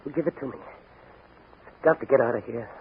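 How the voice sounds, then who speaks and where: A man's voice: medium pitch, fairly quick, husky. An actor playing a brave, determined young police patrolman in a 1940s radio drama. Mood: weak, determined. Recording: tinny, very noisy 1940 radio recording.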